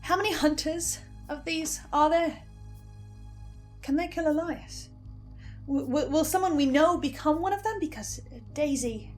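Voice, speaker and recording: Excitable lady doing theory commentary, high voice, cuts herself off, music behind her. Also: unhurried. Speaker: podcast listener giving reaction commentary.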